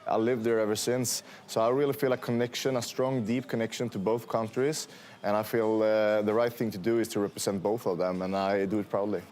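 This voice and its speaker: Hesitant low-voiced Swedish man. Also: level tone, brisk, a little mellow. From Sweden. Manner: hesitant, many fillers, plain speech. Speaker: fighter interviewee. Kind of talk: casual interview answers.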